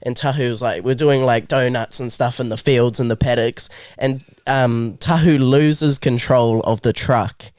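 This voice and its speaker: A man's voice: medium pitch, fairly even intonation, unhurried, hardly any pauses, a little mellow, clear, resonant tone, no echo, studio quality. A young man from New Zealand, a podcast co-host, chatting in a laid-back way that is full of fillers. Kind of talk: casual chat.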